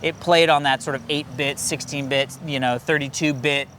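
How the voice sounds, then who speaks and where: A man's voice: fairly high pitch, steady tone, moderate pace, a bit bright. An American man co-hosting a casual movie review, talking in an emphatic, enthusiastic, rambling way, with outdoor street noise behind him. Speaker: film review show co-host.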